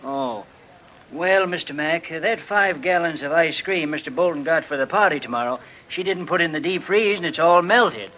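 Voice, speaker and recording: An old radio recording of a meek farm hand. His voice is sharp and somewhat high, and he talks in a hesitant, homespun rustic way, as in 1940s radio drama. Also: normal speed, poor audio. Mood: timid. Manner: hesitant, folksy double negatives. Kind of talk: 1940s radio mystery acting.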